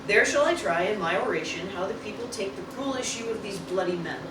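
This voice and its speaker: A woman's voice: mid-range voice, animated, brisk, lightly acted. A woman playing a grieving, vengeful wife who declaims mock-Shakespearean speeches in an amateur radio play. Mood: mournful, commanding. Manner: declamatory, theatrical. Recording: roomy, distant, clearly noisy room recording.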